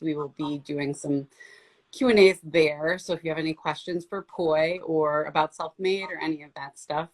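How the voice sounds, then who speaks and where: Woman, interview host, friendly questions, fillers, somewhat low voice. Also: poor audio. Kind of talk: casual interview.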